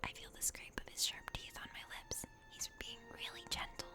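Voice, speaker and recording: A woman voicing a smitten fantasy game heroine who narrates her adventure in a breathy, hushed ASMR whisper. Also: lightly acted. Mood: tender. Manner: breathy, hushed, drawn-out storytelling. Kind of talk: whispered ASMR story reading. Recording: loud music behind.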